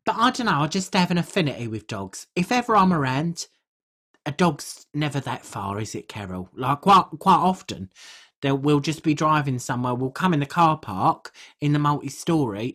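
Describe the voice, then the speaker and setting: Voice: medium pitch, expressive, normal speed, fairly bright tone. A podcast co-host, chatting casually and comically in a rambling way with colloquial, non-standard grammar.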